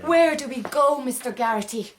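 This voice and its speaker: A young Irish peasant woman in a period radio play. Her voice is high and lively in pitch. She pleads earnestly and respectfully, as someone who has been wronged. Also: moderate pace, slight background noise. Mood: pleading, wronged. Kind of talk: period radio drama acting.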